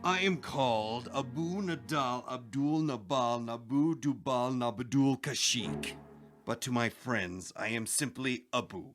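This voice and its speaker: Actor playing sly bandit posing as merchant, low-voiced. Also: male voice, measured pace, fairly bright tone, soft background music, natural voice. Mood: falsely genial. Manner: formal, florid courtesy. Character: vengeful bandit chief posing as humble, courteous oil merchant. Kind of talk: radio drama acting.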